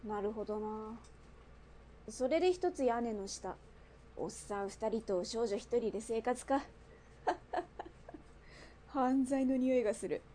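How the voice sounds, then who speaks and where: A woman's voice: fairly high pitch, measured pace, long pauses, mellow, slight background noise, close to an everyday voice. A woman acting in a radio drama as a brash underworld gang boss, with blunt, mannish, teasing speech. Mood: teasing, skeptical.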